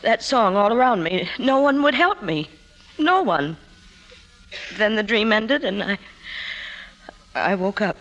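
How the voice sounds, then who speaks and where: An actress playing a troubled woman confessing painful memories in an emotive, halting way, in 1950s radio drama style. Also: medium pitch, big pitch swings, fairly bright tone, rough recording. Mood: troubled, remembering. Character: troubled career woman confiding painful childhood memories to her doctor. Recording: 1950s radio broadcast, music behind.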